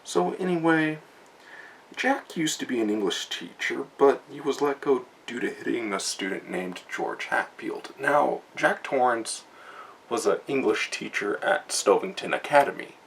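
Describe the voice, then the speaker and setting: Gravelly young man rambling a book review. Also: medium pitch, expressive, measured pace, a little hiss or hum. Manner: rambling, many fillers and restarts. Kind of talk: casual spoken book review from notes.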